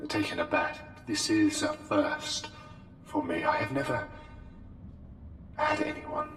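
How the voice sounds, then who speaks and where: A man playing an eerily polite, menacing plague doctor in a formal, haughty ASMR roleplay, with deliberate, halting phrasing. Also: sits low. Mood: taken aback. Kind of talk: slow, intimate ASMR roleplay monologue. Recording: long cavernous reverb, music underneath. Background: music.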